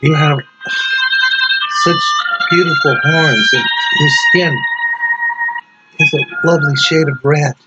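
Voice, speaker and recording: A man voicing a delirious dying woman in a gothic horror story, babbling dreamily and haltingly to her lover. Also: on the high side, huge pitch swings, pitched above the performer's own voice, heavily acted. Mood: dreamy, delirious. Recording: dull lo-fi audio under loud music.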